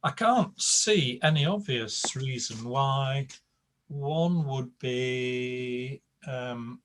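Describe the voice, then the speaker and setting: A man running a class over a video call speaks, unhurried and full of ums. His pitch sits in the middle, and his pace is very slow. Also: fairly bright tone, a little hiss or hum. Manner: slow, hesitant, filler-heavy. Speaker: teacher. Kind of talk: online class.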